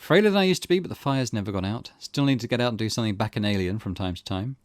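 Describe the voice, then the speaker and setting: Man voicing older male womaniser, visual novel, self-pitying, flattering, coaxing, low pitch, very dry. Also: racing, no room sound, natural voice. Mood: flirtatious.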